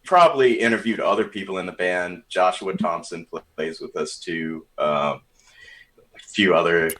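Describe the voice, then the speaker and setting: A man's voice: low voice, moderate pace, fairly bright tone, poor audio. A man appearing as a musician guest on a podcast, talking in an easygoing, hesitant way. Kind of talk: casual podcast chat.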